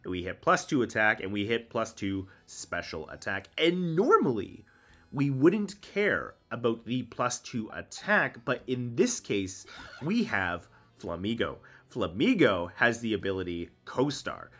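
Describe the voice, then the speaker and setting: A man's voice: mid-range voice, very animated, unhurried, fairly bright tone, slightly rough. A man with a cold and a congested voice, casually explaining a competitive game team and thinking aloud with many ums. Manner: chatty, explains strategy, frequent ums. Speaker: Pokemon VGC content creator.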